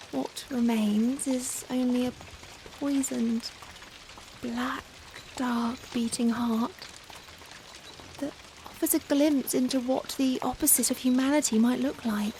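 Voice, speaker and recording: A woman playing a young, genteel Victorian vampire in a soft-spoken ASMR roleplay, with formal old-fashioned phrasing and hesitant pauses. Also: on the high side. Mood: sombre, confessional. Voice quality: soft-spoken, breathy in hushed moments. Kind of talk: ASMR roleplay, softly spoken dramatic monologue. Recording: faint rain-like background noise. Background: rain ambience.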